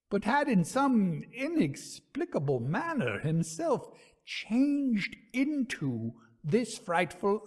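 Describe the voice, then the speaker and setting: A man narrating a gothic ghost story in a theatrical, suspenseful, measured manner. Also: high-pitched, slightly rough.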